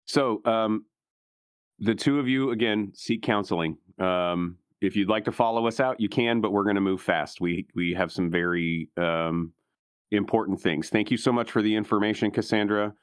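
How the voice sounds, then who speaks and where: A man's voice: fairly low pitch, moderate pace, clean tone, no echo, studio quality. A man taking part in a tabletop roleplaying game, talking casually as a player and in character. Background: soft guitar music.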